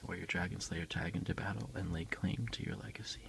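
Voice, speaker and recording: A man speaking as an ASMR host, soft-spoken and unhurried, in a casual style. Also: sits low, fairly even intonation, vocal fry, noisy background. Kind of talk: whispered ASMR unboxing, reading aloud and chatting.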